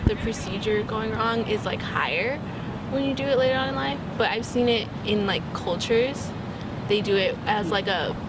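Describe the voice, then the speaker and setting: A woman of twenty-two answering street interview questions in a halting, thoughtful way with many fillers, outdoors on a city street. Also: mid-range voice. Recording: loud street traffic noise.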